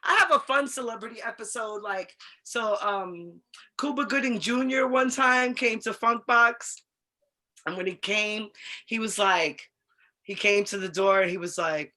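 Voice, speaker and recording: An American woman chatting and telling stories casually as a co-host, in an animated, slangy, expressive way. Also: medium pitch, measured pace. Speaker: co-host of an online talk show. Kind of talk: casual chat and storytelling.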